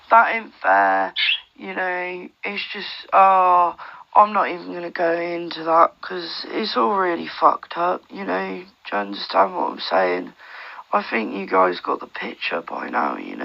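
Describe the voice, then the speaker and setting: An adult with a heavily gravelly, fry-laden voice rambles through casual advice. The delivery swings widely in pitch at an unhurried pace, circling back on itself and peppered with swearing. It comes through dull walkie-talkie audio. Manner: rambling, repetitive, frequent swearing. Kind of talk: casual advice chat over radio.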